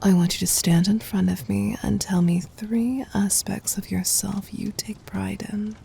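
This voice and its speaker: A woman voicing a commanding, possessive yet tender demon queen in an ASMR roleplay, soft, husky and often near a whisper, with imperious, teasing delivery. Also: mid-range voice, little pitch movement, a little slow, a little hiss or hum. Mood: tender, soothing.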